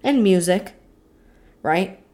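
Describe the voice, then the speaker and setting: A woman's voice: mid-range voice, animated, slow pace, mellow. A young woman from the United States co-hosting a podcast, chatting at length in a talkative way full of fillers. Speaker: podcast co-host. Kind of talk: casual chat.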